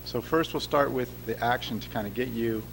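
A man giving a public science lecture, laying things out clearly and asking the audience questions. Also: mid-range voice, a little fast, a bit bright, slight background noise, low-quality recording. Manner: clear, explanatory, addresses the audience directly. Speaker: guest lecturer. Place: lecture hall.

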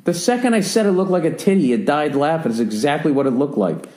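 A profane, rambling American man in his forties hosts a podcast, swearing through a long story. His voice sits dark and mellow. The recording sounds roomy and echoey. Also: on the high side, lively intonation, fast. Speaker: podcast host. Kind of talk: casual podcast chat.

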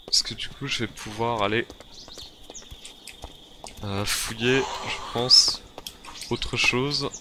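A man's voice: medium pitch, fairly even intonation, slow, long pauses, noisy background. A man improvising in-character commentary on a survival game, muttering to himself and repeating his phrases. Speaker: gamer playing a zombie survival roleplay series.

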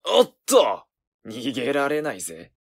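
An actor playing a gruff, hot-tempered young male werewolf in a voice drama, with rough, cocky slangy speech. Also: medium pitch, huge pitch swings, a little slow, exaggerated voice. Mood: menacing. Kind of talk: Japanese voice drama acting.

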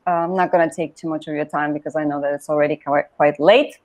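A woman in her thirties from Bulgaria, reading her own poems in a soft, measured, lyrical way, on a video call from a room at home. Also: low-pitched, fairly even intonation. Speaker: poet reading her work. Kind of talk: poetry reading. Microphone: video call, laptop camera.

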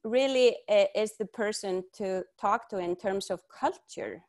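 A woman's voice: mid-range voice, unhurried, darkish tone. A middle-aged woman from Iceland, co-hosting a video call interview, talks earnestly and passionately with many fillers and restarts. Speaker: co-host. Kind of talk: casual video call talk. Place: home study with bookshelves and windows. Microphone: wired earbuds on video call.

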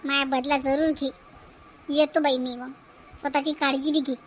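Female actor as scheming, wounded-pride mother, cartoon drama, high pitch, emphatic repetition, Varhadi Marathi accent. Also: flat delivery, normal speed, heavy background noise, lightly acted. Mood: vengeful, goading. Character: vengeful, scheming older mother inciting her daughter. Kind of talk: cartoon family drama voice acting.

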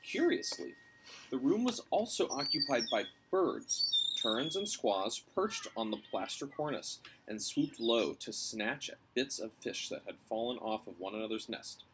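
A man's voice: mid-range voice, sing-song, a little slow. A man in his thirties reading a story aloud on a porch, recorded by a camera a little way off. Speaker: reader of a children's novel. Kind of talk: read-aloud storytelling with brief asides. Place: outdoors on a covered porch. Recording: clear outdoor ambient noise.

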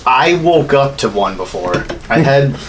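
Male co-host, casual and sweary, very animated intonation, slightly noisy home recording. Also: medium pitch, a little slow. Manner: irreverent, heavy swearing. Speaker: podcast co-host. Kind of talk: casual chat. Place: small home studio room, indoors.

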